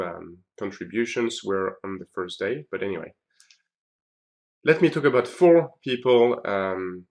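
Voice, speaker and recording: A man giving a chatty conference recap on his video blog, with many ums and uhs. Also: fairly low pitch. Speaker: video blogger.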